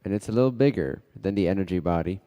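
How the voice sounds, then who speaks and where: A man leading a guided meditation in a measured, halting way, speaking in short phrases with pauses between them. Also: low voice, animated, warm tone. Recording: long hall-like reverb.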